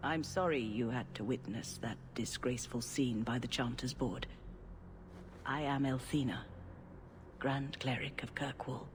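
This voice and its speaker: An actress playing a dignified, compassionate older cleric, speaking formally and solemnly in a video game. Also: female voice, extremely low pitch, measured pace, noisy background. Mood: regretful, gentle.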